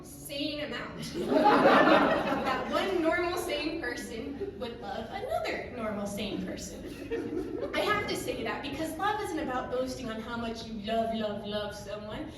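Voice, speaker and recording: A young woman from Ukraine doing a stand-up comedy set on a club stage, with a wry, deadpan delivery and pauses for laughs. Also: sits high, heavy background noise. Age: young adult. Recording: echoey club from far off. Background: audience laughter.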